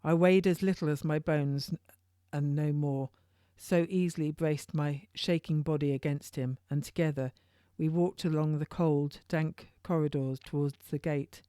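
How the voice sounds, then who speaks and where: A woman narrates a historical novel in the first person. Her voice sits fairly low, and she reads informally like an author sharing her own work, with the odd stumble. The sound is close and dry. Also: steady tone, normal speed, mellow, smooth, dry recording. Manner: informal read-aloud with occasional stumbles.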